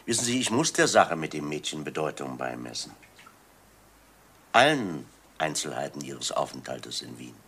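An actor playing a calm police inspector in a crime radio play, questioning insistently and deliberately. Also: male voice, a bass voice, far from the mic, exaggerated voice. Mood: grave, insistent. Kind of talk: dramatic radio play acting.

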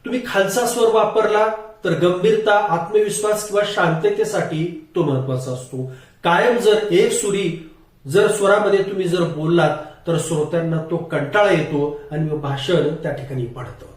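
A man giving a formal, explanatory lesson as a public speaking coach, in measured teacher-like phrasing. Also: sits high. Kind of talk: instructional lecture to camera. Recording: very echoey room, distant microphone.

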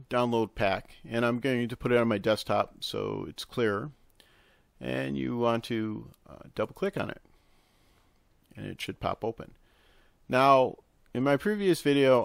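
A man narrating a casual software tutorial, explaining step by step in an instructional way with hesitant pauses. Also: medium pitch, gravelly, no echo. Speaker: tutorial host. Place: close, dry indoor voice-over for a screen recording.

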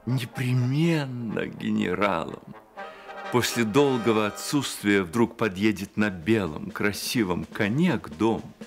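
A man narrating a radio drama as scripted literary storytelling, in a measured manner. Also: on the low side, vocal fry, music in the background.

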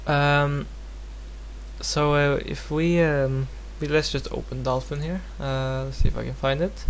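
A man from Norway speaking English as a second language with a Norwegian accent, giving a casual, unscripted software tutorial in a hesitant, rambling, self-deprecating way. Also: fairly high pitch, fairly even intonation, a little slow. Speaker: tutorial presenter. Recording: home recording with clear background noise and hum.

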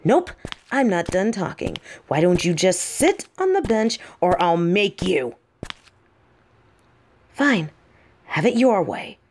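A woman's voice: on the low side, big pitch swings, a little mellow, a little hiss or hum, full character voice. Woman doing a bossy tsundere bully girl for audio roleplay, possessive, secretly smitten, takes her time with the words. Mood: bossy, taunting. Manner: bossy, taunting, flustered halting delivery. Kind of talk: acted audio roleplay addressed to the listener.

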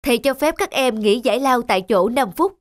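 A man voicing a calm, kindly schoolteacher in a children's cartoon, speaking in a measured, instructive way. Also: very high voice.

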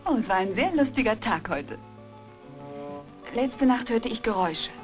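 A woman acting an affectionate, curious wife in an audio drama. Her fairly high voice moves up and down freely as she gently coaxes and encourages, with music clearly behind her. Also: animated, moderate pace, close to an everyday voice. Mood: curious, excited. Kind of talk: German audio drama dialogue.

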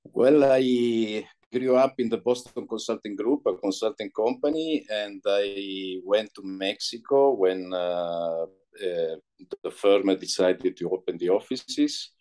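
A middle-aged man from Italy, Italian his first language, answering interview questions on a video call in a halting way, with many pauses and fillers. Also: on the low side, warm tone. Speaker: interview guest, entrepreneur. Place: indoor room, light walls. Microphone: video call laptop mic.

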